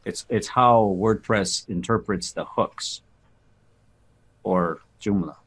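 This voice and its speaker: A man in a casual technical discussion on a video call, explaining things a bit haltingly. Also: on the low side, fairly bright tone, breathy edge. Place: online video meeting.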